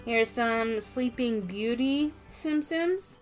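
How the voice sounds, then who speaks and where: A woman's voice: on the high side, slow, faint music behind. A woman co-hosting a toy show in casual, informal chat, thinking aloud as she goes.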